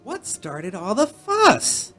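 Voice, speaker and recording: Actor doing a wholesome eager little space boy in a radio show, super animated pitch, bit of music behind. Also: slow, full character voice. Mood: earnest, eager. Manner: earnest, wholesome, gee-whiz. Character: eager, innocent young boy space hero. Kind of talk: radio play acting.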